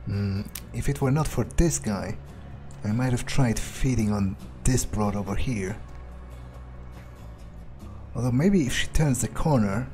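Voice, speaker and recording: A man's voice: medium pitch, measured pace, long pauses, darkish tone, soft background music. A man thinking aloud in character as he plays a video game, musing hesitantly. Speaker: player of a video game playthrough, speaking in character. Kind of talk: in-character roleplay gameplay narration, thinking aloud.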